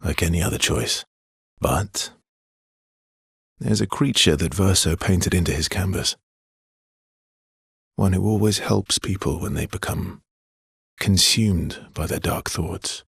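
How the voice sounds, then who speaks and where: An actor playing a brooding, gently teasing, guilt-worn man in a video game, with a husky, gravelly low voice and halting, understated delivery. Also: no echo, studio quality, heavily acted. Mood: somber, subdued. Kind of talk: naturalistic video game character dialogue.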